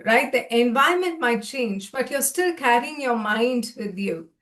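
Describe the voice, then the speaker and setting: A woman's voice: a little high, animated, unhurried, fairly bright tone. A middle-aged woman from India, a podcast guest telling her life story in a chatty, self-deprecating way over a video call. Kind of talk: conversational storytelling.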